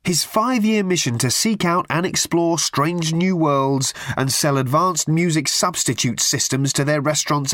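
A man voicing a manic, excitable man who rants and shouts frantically. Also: mid-range voice, no echo, studio quality, voice pushed up.

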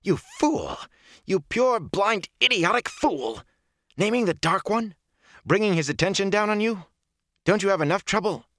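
A man voicing a fierce young village wise woman with a sharp, touchy, bossy manner in a fantasy audiobook. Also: lowish voice, very animated, normal speed, dry recording, clean studio sound, pitched above the performer's own voice. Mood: angry, scolding.

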